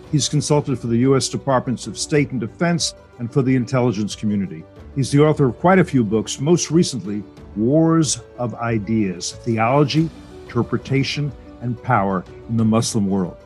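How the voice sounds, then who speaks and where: A man's voice: medium pitch, normal speed, darkish tone, soft background music. A male radio host interviewing a guest in a folksy, wry manner. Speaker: radio talk show host. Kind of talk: broadcast interview.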